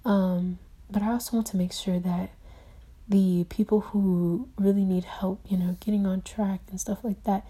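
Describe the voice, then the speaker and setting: Softly whispering woman at prayer. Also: mid-range voice, fairly even intonation, moderate pace, slightly rough. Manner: soft, hushed, half-whispered talk; earnest, rambling, with many fillers. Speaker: video diarist. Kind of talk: personal diary and spoken prayer.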